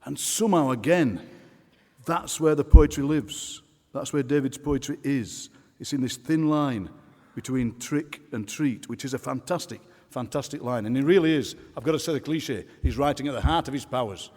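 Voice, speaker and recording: A man presenting a poet in a live speech, speaking emphatically and deliberately, repeating key phrases for effect. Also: mid-range voice, warm tone. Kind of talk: live speech of praise introducing a reading. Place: on stage in a large concert hall. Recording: reverberant large concert hall.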